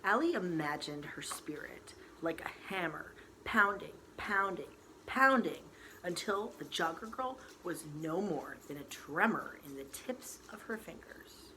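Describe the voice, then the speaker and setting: A woman storyteller reading a novel aloud in expressive, dramatic narration with deliberate emphasis. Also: on the low side, poor audio. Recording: faint background noise.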